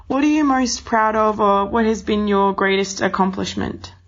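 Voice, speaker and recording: A woman with a mid-range, lively voice conducts a conversational interview. She is encouraging and affirming toward her guest. The sound is a little dull and lo-fi. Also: mid-range voice, normal speed.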